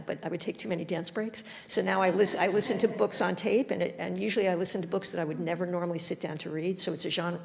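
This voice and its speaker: A woman's voice: on the low side, gravelly, darkish tone, in a large hall. A woman artist on a panel, speaking candidly and with some self-deprecation. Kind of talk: casual panel discussion.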